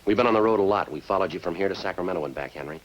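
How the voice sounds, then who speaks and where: A man playing a terse, unflappable police sergeant in a radio crime drama, speaking in a clipped, deadpan, matter-of-fact way. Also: low-pitched, very fast, lo-fi sound. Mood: dry, pressing. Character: terse, unflappable male police sergeant narrating his case.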